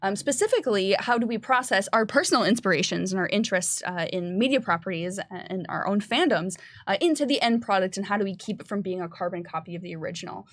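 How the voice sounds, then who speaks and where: A woman's voice: medium pitch, animated, fast, few pauses, no room sound, studio quality. A woman chatting casually on a panel about games, explaining things in a thoughtful way. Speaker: panelist and game developer.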